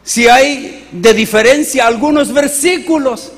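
A Chilean man, a pastor, preaching a sermon with animated rhetorical questions to his congregation. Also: extremely high pitch, unhurried, in a large hall.

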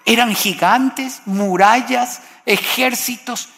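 A man's voice: very high-pitched, slow, gravelly, sharp tone, long reverb tail. A man from Costa Rica preaching a sermon, impassioned and building to exclamations.

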